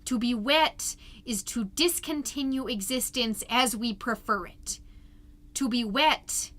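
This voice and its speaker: A woman's voice: fairly high pitch, slow pace, a bit bright. A woman playing a chirpy, gushing suburban PTA mom who hosts a podcast, delivering a comedic monologue in a theatrical, folksy and emphatic way. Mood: solemn, earnest reading. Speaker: podcast host.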